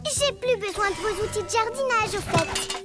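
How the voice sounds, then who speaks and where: A woman's voice: very high voice, lively intonation, moderate pace. A woman playing a boastful young girl villain in a children's cartoon, with a gloating, theatrical delivery. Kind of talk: animated children's cartoon dub. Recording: loud cartoon music behind.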